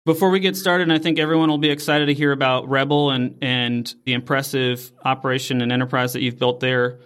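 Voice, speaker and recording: A man's voice: mid-range voice, flat delivery, a little fast, fairly bright tone. A man hosting a business podcast, reading a formal scripted introduction and asking questions. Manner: polished, formal. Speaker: podcast host.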